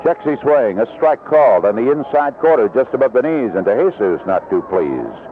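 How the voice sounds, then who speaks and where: A man's voice: medium pitch, very animated, moderate pace. A man calling a baseball game live as a play-by-play announcer, in 1970s radio commentary style from a ballpark. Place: outdoor ballpark broadcast booth. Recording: lo-fi vintage AM radio broadcast, heavy noise. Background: loud stadium noise.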